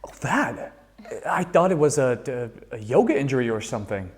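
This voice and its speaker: A man performs a wisecracking rocker in a sitcom read-through. His pitch is average. He rambles along in an easygoing, joking way. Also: big pitch swings, moderate pace, lightly acted. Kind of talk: sitcom table read acting.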